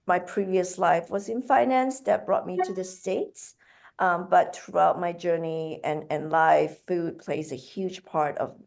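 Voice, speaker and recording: Gravelly-voiced woman from Malaysia. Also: on the low side, darkish tone. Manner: hesitant, with many fillers and restarts. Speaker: guest author and food entrepreneur. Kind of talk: interview answers.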